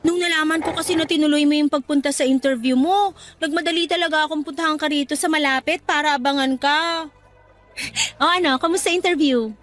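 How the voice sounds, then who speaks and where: A woman acting as an affectionate, encouraging young girlfriend in a radio drama, speaking in a coaxing, animated way. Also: high voice, brisk, soft background music. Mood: cheerful, eager. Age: young adult. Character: supportive, upbeat young girlfriend cheering on her partner. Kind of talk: Tagalog radio drama dialogue.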